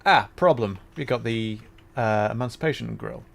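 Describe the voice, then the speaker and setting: A man's voice: mid-range voice, moderate pace, gravelly. A man doing casual live gameplay commentary, thinking aloud and playfully repeating words as he goes. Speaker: VTuber game streamer.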